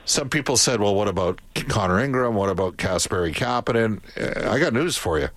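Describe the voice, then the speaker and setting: A man's voice: fairly low pitch, animated, a bit bright, no room sound. A man hosting a sports radio show, joking with a guest in casual chat. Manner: joking, brisk reads ad copy. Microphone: studio microphone.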